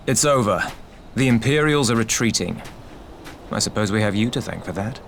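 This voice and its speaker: An actor playing a wary, blunt island fighter in a video game, speaking in a grim, grudging way. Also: male voice, lowish voice, lively intonation, moderate pace, creaky, a little hiss or hum. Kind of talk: English video game cutscene acting.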